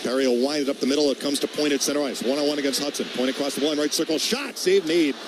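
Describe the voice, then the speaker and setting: Male hockey play-by-play announcer, fairly high voice, clipped phrases, clearly noisy background. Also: very fast. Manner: clipped running calls in short phrases. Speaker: play-by-play radio commentator. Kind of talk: live ice hockey radio commentary.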